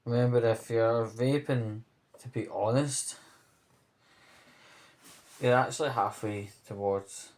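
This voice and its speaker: A man speaking casually to his viewers in a vlog update, earnest and hesitant, pausing between short phrases. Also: on the low side, a little mellow. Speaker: vlogger.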